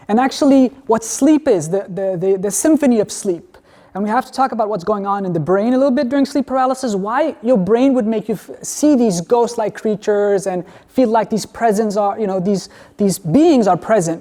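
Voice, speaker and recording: Mellow-voiced man lecturing in a hall. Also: high-pitched. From Denmark. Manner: conversational, asks the audience questions. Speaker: guest lecturer. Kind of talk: university lecture.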